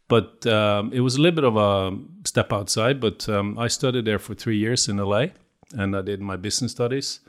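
A Norwegian man in his fifties is the guest in a casual interview. He speaks in a fairly low voice with a Norwegian accent. He stops and restarts often and fills pauses with "uh" and "um.". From Norway. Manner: hesitant, with many fillers and restarts.

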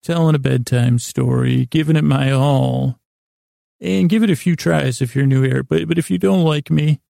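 A man hosting a bedtime podcast in a rambling, halting monologue, gravelly and creaky, with long pauses, self-corrections and silly self-deprecation. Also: medium pitch, warm tone, dry recording, clean studio sound. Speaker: podcast host.

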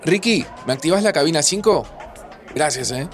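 A man's voice: fairly high pitch, lively intonation, normal speed, faint music behind, some chatter behind, lightly acted. An actor playing a nervous, smitten man in his forties, chatting casually and affectionately with an old friend in a Buenos Aires accent, in a fiction podcast. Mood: amused, chatty. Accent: Argentine, Buenos Aires. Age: 40s. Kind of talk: naturalistic fiction podcast dialogue.

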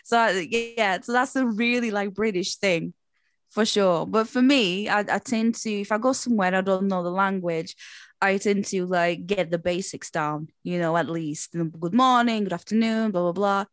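A woman from Portugal, a native Portuguese speaker, chatting casually in English and telling stories in a chatty, animated way. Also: mid-range voice, moderate pace, dry recording. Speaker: performer who does shows. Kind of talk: casual chat.

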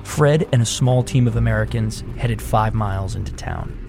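A man hosting a podcast, reading scripted narration in a measured documentary way. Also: fairly low pitch, over music. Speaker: podcast host.

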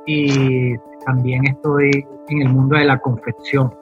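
A man's voice: medium pitch, flat delivery, takes it slowly, lo-fi sound, faint music behind. A man, a garment maker explaining his work step by step in an interview. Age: 40s.

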